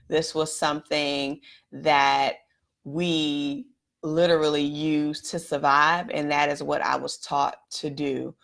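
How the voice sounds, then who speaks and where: A woman from Washington, D.C. hosting a live stream, telling her own story in a candid, reflective way straight to viewers, her voice a little raspy. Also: low voice, flat delivery, takes it slowly, fairly bright tone. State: slightly raspy voice. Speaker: host.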